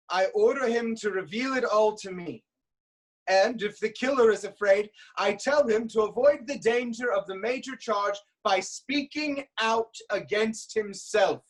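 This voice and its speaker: A man playing a proud, commanding king of ancient Thebes in a staged reading of Greek tragedy, declaiming deliberately. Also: high-pitched, low-quality recording.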